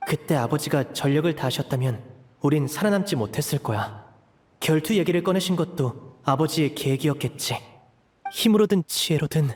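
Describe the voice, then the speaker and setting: A man's voice: on the high side, darkish tone, husky. An actor playing a loyal young man, earnest and respectful, in a dramatic game scene. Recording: music under the voice.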